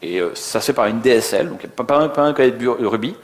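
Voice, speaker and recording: A man's voice: mid-range voice, animated, fast talker. A man giving an informal technical conference talk in a colloquial, self-deprecating, joking way. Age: middle-aged. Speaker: conference speaker. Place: indoor conference venue. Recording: echoey conference room.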